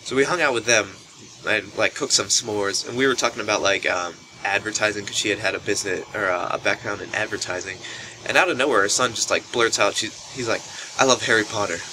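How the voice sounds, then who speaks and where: Young male storytelling vlogger, deeper bright voice, casual and animated, noisy camera mic. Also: a little fast. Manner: animated retelling, acts out quoted speech. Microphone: built-in camera microphone. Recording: noisy low-quality camera microphone.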